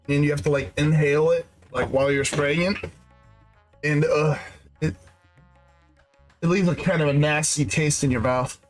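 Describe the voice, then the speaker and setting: A congested man chatting casually on his game stream, rambling, laughing and swearing freely. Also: on the high side. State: congested nose and throat. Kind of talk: casual gaming stream chat.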